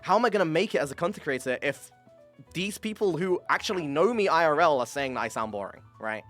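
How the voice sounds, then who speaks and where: A young man streamer chatting candidly with a guest, cursing casually and running himself down. His voice rises and falls a lot even though he calls it monotone, and it has a slight wear from years of screaming. Faint music plays underneath. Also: a little high, fast. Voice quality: says his voice is monotone, tries to liven it. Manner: candid, swears often, self-deprecating. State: throat worn from years of screaming. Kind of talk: casual podcast chat.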